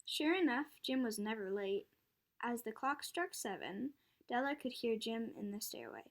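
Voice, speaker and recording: A woman's voice: fairly high pitch, lively intonation, normal speed. A young girl reading scripted narration for a school radio play. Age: child, about 9 or 10. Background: loud chiming music.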